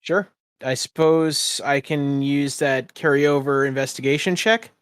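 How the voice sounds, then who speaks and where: A man's voice: a little high, steady tone, unhurried, fairly bright tone, clean tone, dry recording, studio quality. A man running a tabletop game as game master, describing scenes in a conversational, improvised way. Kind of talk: casual improvised game narration.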